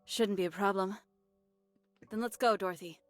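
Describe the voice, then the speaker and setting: A woman's voice: medium pitch, normal speed, no echo, faint music behind, lightly acted. A woman voicing a stoic young scout in an anime game, terse and matter-of-fact.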